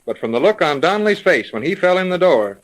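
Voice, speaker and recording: Cheeky, higher-voiced rookie detective. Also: male voice, very animated, fast talker, low-quality recording. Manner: flippant, folksy wisecracks. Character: wisecracking novice male detective, loyal and cheeky. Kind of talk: 1930s radio detective serial acting.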